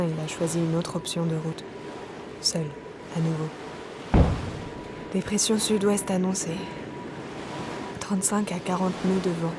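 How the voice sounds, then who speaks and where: Actress as a weary woman sailor, loud ambience. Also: fairly low pitch, close to an everyday voice. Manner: measured, terse, introspective in the log; colloquial on radio. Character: weary solo woman sailor keeping a logbook at sea. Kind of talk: scripted audio fiction, logbook narration. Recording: loud vehicle-like ambient noise behind.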